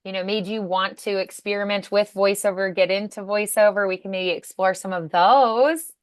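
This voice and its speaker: A woman's voice: mid-range voice, steady tone, moderate pace, no room sound, clean studio sound. A woman coaching a student in a casual one-on-one conversation, with an encouraging, affirming manner. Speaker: voice acting coach.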